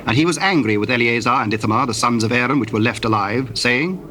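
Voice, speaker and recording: A man narrating scripture from a script in a formal, solemn and deliberate manner. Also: medium pitch, bright, forceful tone, slight background noise.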